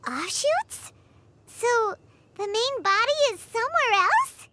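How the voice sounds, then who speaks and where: A woman voicing a small, excitable female fairy companion in a video game, with a high childlike voice. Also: takes it slowly, noisy background, full character voice. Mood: alarmed. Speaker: game character. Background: loud game music.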